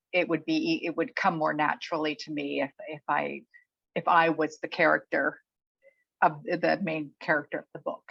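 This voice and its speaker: A middle-aged woman chatting casually as an interviewed author, thinking aloud in a halting way. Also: deep voice, creaky, no echo. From Canada. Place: remote online call. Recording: dull, muffled lo-fi audio.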